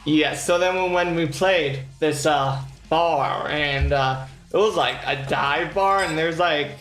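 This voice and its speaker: A man's voice: on the high side, slow pace, a bit bright. A young man in a band chiming in with short, joking remarks during a casual update. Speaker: band member. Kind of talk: casual chat. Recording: echoey room with faint music.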